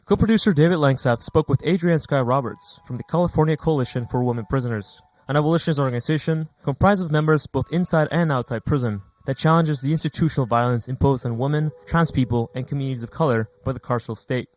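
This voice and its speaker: A man's voice: medium pitch, fast talker, few pauses, a little mellow. A man presenting a radio segment, reading a scripted introduction.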